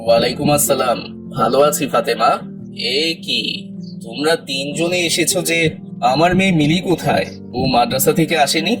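A man's voice: a little high, normal speed, over music, natural voice, poor audio. A man playing a stern, indignant, pious middle-aged father with a dramatic scolding manner in a moral cartoon. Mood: concerned.

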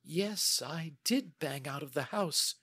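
A man's voice: fairly high pitch, lively intonation, slow, bright, forceful tone, slightly rough, dry recording, voice pushed up, heavily acted. A man voicing an anxious, chatty young female client in an audiobook, with a flustered, rambling manner. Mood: indignant. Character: anxious, good-humored, chatty large young woman client. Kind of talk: audiobook character dialogue.

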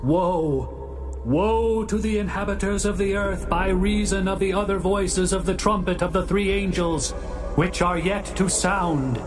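A man voicing an angel who cries out warnings from the sky in a loud, wailing proclamation. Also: sits high, a little slow. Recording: distant voice over music.

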